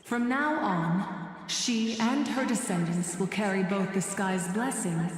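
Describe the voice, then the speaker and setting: A woman's voice: medium pitch, slow pace, a crowd in the background, close to an everyday voice. A woman playing a haughty goddess in a video game, imperious and grandiose as she judges mortals. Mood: coolly amused. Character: haughty, judging goddess who disdains mortals. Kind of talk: dramatic video game voice acting. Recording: very echoey vast hall, music behind. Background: music.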